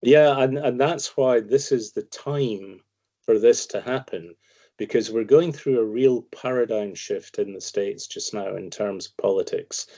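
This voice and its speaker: A man's voice: medium pitch, a little slow, warm tone. A man with a Scottish accent, a guest analyst giving emphatic, opinionated commentary in long explanations. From Scotland. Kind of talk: conversational interview commentary.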